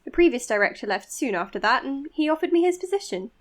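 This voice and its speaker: A woman playing an earnest, modest young psychiatrist in an audio drama, speaking in a measured, precise, slightly hesitant way. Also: sits high, big pitch swings. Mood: polite, modest. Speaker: doctor being interviewed on a podcast. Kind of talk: audio drama acting, natural interview and voice notes.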